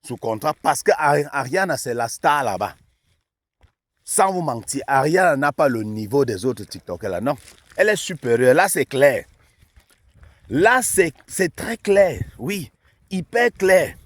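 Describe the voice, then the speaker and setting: A man's voice: on the high side, no room sound. A man commenting casually for his audience, laughing often and asking them questions. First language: not French. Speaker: online news commentator. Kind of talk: casual commentary on a played clip.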